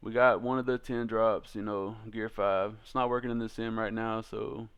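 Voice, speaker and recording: A man's voice: lowish voice, steady tone, normal speed, smooth, dull audio. A man giving casual, slangy commentary as host of a card game video, talking informally to his viewers.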